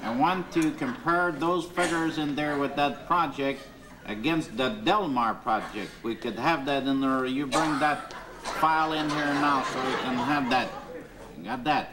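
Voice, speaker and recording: A man's voice: medium pitch, unhurried, over a noisy crowd, natural voice. A man playing a fussy, exasperated office boss. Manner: fussy, exasperated, over-explaining. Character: flustered pompous middle-aged male boss. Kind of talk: 1970s TV sketch comedy. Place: TV studio office set. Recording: loud hiss on old TV tape.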